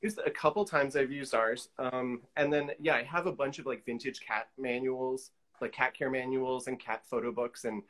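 A man's voice: medium pitch, steady tone, normal speed, few pauses, a bit bright. A man in his forties chatting casually as an interview guest, peppering his talk with "you know" asides. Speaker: interview guest, collage artist. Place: small indoor room with pinned pictures, video call.

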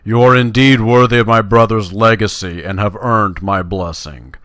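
Man reading as stately fantasy quest giver, lowish voice. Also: fairly even intonation, moderate pace, fairly bright tone, no echo, lightly acted. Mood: proud. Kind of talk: reading game quest text in character.

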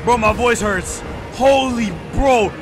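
A young American man giving casual, excitable let's-play commentary, slangy and repeating his phrases. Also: very high-pitched, slow pace. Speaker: gaming YouTuber. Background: game music.

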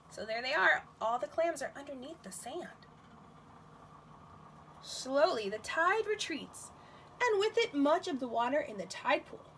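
A woman teaching nursery school, reading a picture book aloud to young children in an expressive, questioning manner, with exclamations. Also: high voice, a little hiss or hum.

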